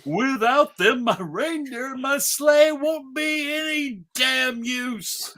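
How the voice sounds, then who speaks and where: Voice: high voice, takes it slowly, sharp tone, exaggerated voice. A performer at a live comedic table read playing a fretful, melodramatic old Santa Claus. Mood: distressed. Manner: melodramatic, fretting. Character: stranded old Santa, fretful and jolly-natured.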